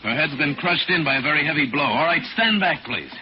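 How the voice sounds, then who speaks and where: An actor playing a composed, educated gentleman sleuth, polite and authoritative, in 1940s radio style. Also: a little high, animated, a little fast, natural voice. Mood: grave, commanding. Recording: noisy, dull 1940s radio recording.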